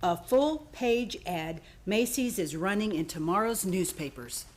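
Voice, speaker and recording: A woman acting an excitable, dramatic store employee in a radio play, exclamatory and gushing. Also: medium pitch, measured pace, a little hiss or hum, natural voice. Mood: brisk, exasperated.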